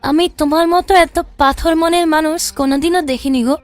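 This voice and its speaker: A woman's voice: high-pitched, fairly even intonation, moderate pace, no room sound, faint music behind, close to an everyday voice. A woman playing an emotional, caring young village wife in a cartoon, with a plaintive manner. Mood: shocked, reproachful. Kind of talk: cartoon voice acting.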